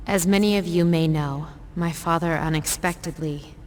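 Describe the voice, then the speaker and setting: A woman's voice: on the low side, fairly even intonation, normal speed, darkish tone, slight background noise, close to an everyday voice. An actress playing a poised young female student council president who gives a formal graduation speech in a video game cutscene. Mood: composed. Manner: formal, composed speech delivery. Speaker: valedictorian.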